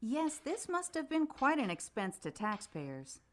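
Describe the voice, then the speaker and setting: An actress playing a composed, probing news reporter conducting a formal interview in a radio play. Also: female voice, high-pitched, sing-song, a little slow, a little hiss or hum.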